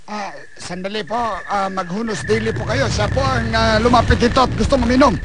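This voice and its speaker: A man's voice: sits high, fairly even intonation, fairly quick, background noise, close to an everyday voice. A man playing a pushy young troublemaker coaxing a friend in a Filipino radio drama, cheeky and slangy. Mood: flustered, cheeky.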